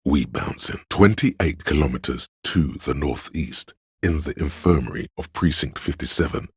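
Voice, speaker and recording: Grave omniscient inner-voice narrator for a detective game: extremely low, heavily rasped, measured and literary, close dry sound. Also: male voice, no echo, exaggerated voice. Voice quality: very deep gravelly husky rasp.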